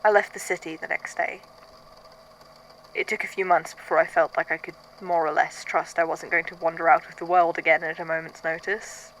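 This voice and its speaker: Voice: mid-range voice, little pitch movement, fairly quick, a bit bright, a little hiss or hum, close to an everyday voice, low-quality recording. A performer playing a weary, haunted archivist, speaking in a hesitant, introspective, conversational way in an audio drama monologue. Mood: haunted, reflective. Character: weary, haunted archivist recording statements of uncanny events. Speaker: voice actor in a fan fiction audio drama.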